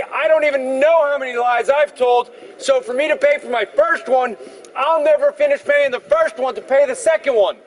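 A man preaches with an extremely high-pitched voice for a male speaker. He projects strongly and stresses his points in an emphatic sermon delivery. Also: poor audio.

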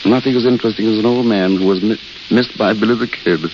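An actor playing a weary, courteous failed writer who mocks himself wistfully, in old radio drama style. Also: male voice, on the low side, fairly even intonation, fast, lightly acted. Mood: wistful, gentle. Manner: courteous, wistful, self-deprecating. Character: weary, self-mocking failed writer, a wandering intellectual. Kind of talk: 1930s-40s radio drama acting. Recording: hissy, crackly, muffled old radio recording.

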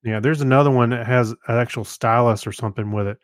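A man's voice: mid-range voice, steady tone, fast talker, no echo. Man in his fifties, casual, very dry sound. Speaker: podcast co-host. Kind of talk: casual chat, reminiscing.

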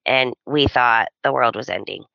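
A woman's voice: rumbling low, normal speed, creaky, a bit bright, dry recording, muffled recording. A woman in her thirties co-hosting a podcast, telling stories in casual chat and laughing often. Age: 30s. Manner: chatty storyteller, laughs often. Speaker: podcast co-host.

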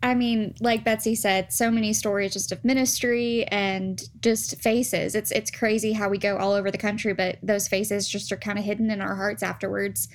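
A young woman who coordinates events, telling a story in a casual, soft-spoken and chatty way on a podcast. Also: medium pitch, flat delivery, moderate pace, hardly any pauses.

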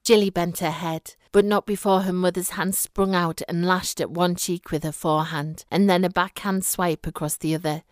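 A woman's voice: fairly low pitch, steady tone, moderate pace, hardly any pauses, no echo, clean studio sound. A woman reading a literary short story aloud as scripted narration. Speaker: story reader.

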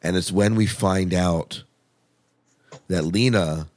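A man with a low voice hosts a casual podcast chat. He talks informally, reacts with open enthusiasm and steers the talk with questions. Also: takes it slowly, a little mellow. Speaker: podcast host. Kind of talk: casual TV show discussion.

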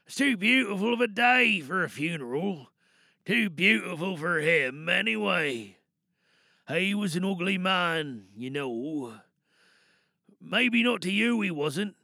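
A man playing a sad, bitter war veteran in an audio drama monologue, wistful and halting as he confesses his grief. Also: high voice, vocal fry, pushed and bright, no room sound, clean studio sound, heavily acted.

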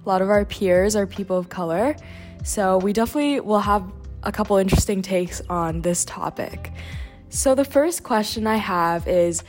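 A woman's voice: mid-range voice, normal speed, a little mellow, faint music behind. A young woman from Vancouver with a Canadian accent, hosting a student podcast in a conversational way. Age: young adult.

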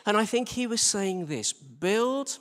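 A man with a British accent and a high-set voice preaches informally in a very echoey hall. He talks to the congregation conversationally, pokes fun at himself, and leans on repeated phrases and rhetorical questions. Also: sing-song, slow pace, a bit bright. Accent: British English. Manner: conversational, self-deprecating, with rhetorical questions and repetition. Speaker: church team leader preaching. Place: large reverberant indoor church space. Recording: live church hall, long reverberation.

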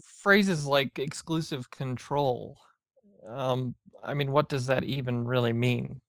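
A man in a casual debate, speaking haltingly and hesitantly as he questions a point. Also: mid-range voice, no room sound, clean studio sound. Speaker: discussion participant.

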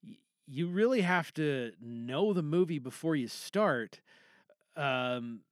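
A man's voice: on the high side, animated, no room sound. Casual, rambling man, dry close sound. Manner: halting and informal, trails off and rephrases mid-sentence. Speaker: podcast host giving feedback to fellow podcasters. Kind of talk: casual, rambling solo chat with opinions on films.

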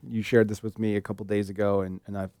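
A man's voice: on the low side, level tone, quick pace, mellow, clean tone, dry recording, clean studio sound. A middle-aged man co-hosting a casual podcast, with a wry, joking way of talking. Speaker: podcast co-host.

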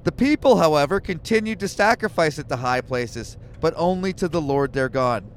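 A man's voice: a little high, lively intonation, moderate pace, slight background noise. A man co-hosting a podcast in a moving car, reading scripture aloud and joking about it with irreverent, swearing asides. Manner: joking, swears freely, reads passages then riffs.

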